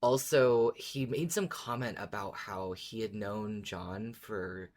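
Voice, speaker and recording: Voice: medium pitch, slow, a little mellow. A podcast co-host talking casually and enthusiastically, agreeing emphatically and repeating words. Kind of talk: casual fan discussion.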